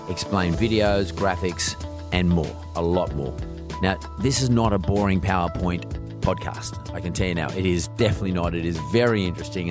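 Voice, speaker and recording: A man with an Australian accent hosting a conversational interview podcast in a blunt, casual, probing manner. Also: sits low. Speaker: podcast host.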